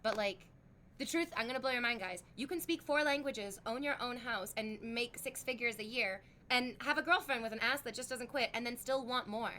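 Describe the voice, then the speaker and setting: Rambling streamer woman with a high voice. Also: normal speed, sharp tone, a little hiss or hum. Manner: rambling, frank, heavy use of "like". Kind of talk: casual chat with live chat.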